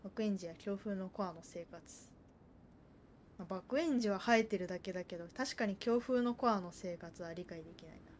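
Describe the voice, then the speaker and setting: A woman's voice: mid-range voice, rapid-fire, a little hiss or hum. A woman doing casual live commentary as a game streamer, chatting and reacting into a streaming microphone. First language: Japanese.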